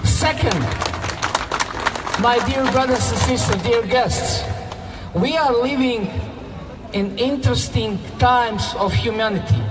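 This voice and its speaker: A man from Bosnia with a Bosnian accent giving a slow, measured rally speech that rises to fervent, raised passages. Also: very high voice. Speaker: speaker at a solidarity rally. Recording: echoey public-address sound from far off, music behind.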